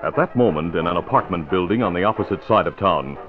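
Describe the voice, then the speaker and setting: A man narrating an old-time radio crime serial in a measured, dramatic style. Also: deep voice, animated, over loud music. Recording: tinny, noisy vintage radio recording.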